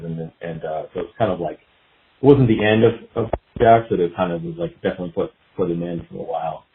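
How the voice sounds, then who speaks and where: Low-voiced man on a dull, echoey line. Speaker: guest musician, guitarist. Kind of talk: casual podcast interview answers. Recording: dull phone-like line, roomy echo.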